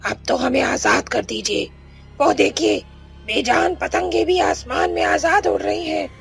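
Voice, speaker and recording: A woman voicing an anguished captive witch in a cartoon, pleading in a drawn-out, melodramatic way. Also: sits high, huge pitch swings, vocal fry, faint music behind, full character voice. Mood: weary defiance. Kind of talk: Hindi cartoon melodrama acting.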